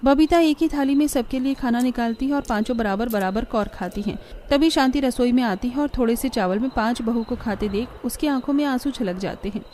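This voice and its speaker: A woman's voice: on the high side, brisk, mellow, slight background noise. A woman reading scripted narration for a cartoon family story, as the storyteller.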